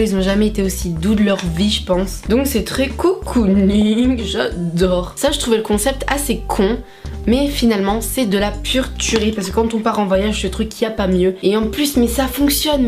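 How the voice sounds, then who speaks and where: A young woman talks casually to her viewers in a vlog. Her voice sits in a middle range, and she swears freely and drops in jokey English phrases. Music plays clearly in the background. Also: medium pitch. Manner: chatty, swears a lot, playful mock English asides. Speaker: vlogger. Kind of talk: casual haul vlog chat.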